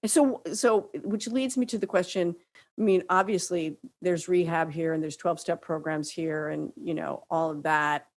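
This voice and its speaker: A woman's voice: on the low side, steady tone, moderate pace. A middle-aged woman co-hosting a podcast in casual conversation, searching for words and often checking in with her listener. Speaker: podcast co-host and writing teacher.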